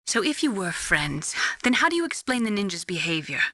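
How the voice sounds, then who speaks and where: Bright, earnest scientist. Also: female voice, medium pitch, very animated, fairly quick, no room sound. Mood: disapproving. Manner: earnest, questioning. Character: earnest, probing female genetic scientist. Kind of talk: dramatic video game voice acting.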